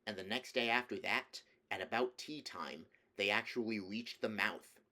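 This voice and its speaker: Male audiobook reader, low register, scripted storybook narration. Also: normal speed, sharp tone.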